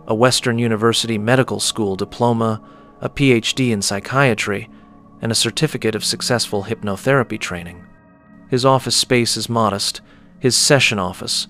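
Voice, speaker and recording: A man narrating a scripted audio story in a measured, descriptive manner. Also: mid-range voice, fairly bright tone.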